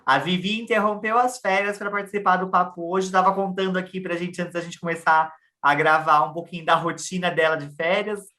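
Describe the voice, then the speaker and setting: A woman's voice: lowish voice, steady tone, fairly quick, hardly any pauses, bright, forceful tone. A Brazilian woman hosting a podcast with casual, bantering chat. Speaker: podcast host.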